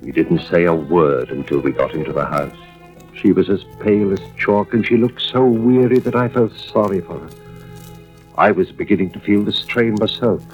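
A muffled vintage radio recording with music carries a weary, anxious husband played by a male actor. He has a lowish voice and tells his suspense story in a measured, dramatic first-person style. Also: natural voice. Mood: tense, brooding. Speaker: narrator and lead actor. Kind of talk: 1940s-50s radio suspense acting.

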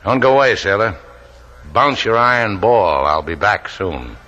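An actor playing a tough, wisecracking adventurer with a clipped, deadpan delivery in 1940s-50s radio drama style. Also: male voice, fairly low pitch, measured pace, dull audio, faint music behind, full character voice. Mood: sardonic. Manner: hard-boiled, clipped, deadpan. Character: tough, wisecracking adventurer hero, coolly sardonic.

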